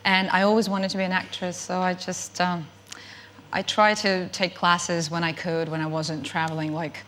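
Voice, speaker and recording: A woman's voice: on the low side, little pitch movement, normal speed, a bit bright, slight background noise. A woman in her thirties from Ukraine with a Ukrainian-French accent, telling stories as a chat show guest with many ums. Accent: Ukrainian and French mix. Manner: chatty, hesitant storytelling with many ums. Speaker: guest actress. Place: TV studio.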